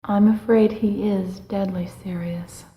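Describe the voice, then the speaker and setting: An actress playing a level-headed, cautious woman versed in ancient Egypt, in a measured, ominous audio drama style. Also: mid-range voice, steady tone, muffled recording, lightly acted. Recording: echoey stone chamber reverb.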